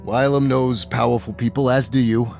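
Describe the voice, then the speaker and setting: A man's voice: medium pitch, steady tone, moderate pace, creaky, a little mellow. A man improvising narration and dialogue as game master of a tabletop role-playing game, with music behind him.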